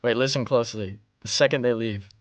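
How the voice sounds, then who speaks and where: A man's voice: mid-range voice, brisk. A man from an animation crew chatting casually in a group commentary.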